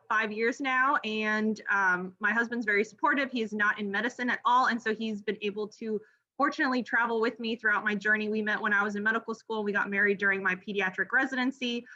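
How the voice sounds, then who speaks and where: A woman's voice: on the high side, sharp tone. A woman in her thirties from Miami, a doctor in training, giving a friendly informal presentation with frequent ums and restarts. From Miami, Florida, United States. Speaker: pediatric gastroenterology trainee presenting. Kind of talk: informal presentation over slides. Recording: slightly muffled lo-fi audio.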